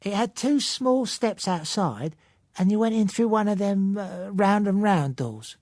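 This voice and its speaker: A man voicing a wary, plaintive old woman with a London accent, in a thin, strained voice and halting, ungrammatical speech, for an audiobook. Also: high-pitched, expressive, a little mellow, no echo, studio quality, pitched above the performer's own voice. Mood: halting, insistent.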